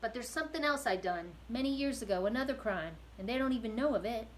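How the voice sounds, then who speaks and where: A woman voicing a gruff old male prisoner, a cow thief, with loud, rough, rustic speech. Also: a little high, lively intonation, quick pace, slight background noise, lightly acted, poor audio. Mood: confessing.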